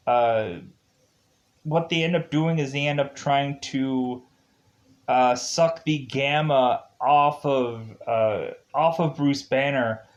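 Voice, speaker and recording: A man reviewing episodes in a casual, rambling way, halting often and circling back over his phrases. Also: mid-range voice.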